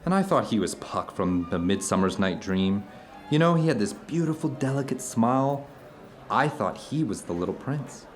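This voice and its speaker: Animated, searching man played by an actor. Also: medium pitch, normal speed, faint music behind, lightly acted. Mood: reflective. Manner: rambling, searching, earnest, emphatic. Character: animated, introspective man telling uncanny personal anecdotes to an old friend. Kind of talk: audio drama dialogue, conversational monologue.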